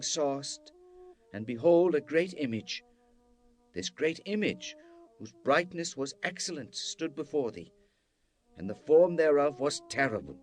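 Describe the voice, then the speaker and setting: A man playing a devout Hebrew prophet in a dramatized scripture reading, solemn, fervent and declamatory, with dramatic pauses. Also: a little high. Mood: solemn, earnest.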